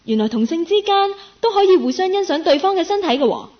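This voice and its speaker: A woman's voice: extremely high pitch, big pitch swings, fast talker, muffled recording. A woman acting a curious, friendly young woman in a radio drama, with a Hong Kong Cantonese accent, both narrating and in dialogue. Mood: musing. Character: curious, friendly young woman, part-time worker, nicknamed Meow.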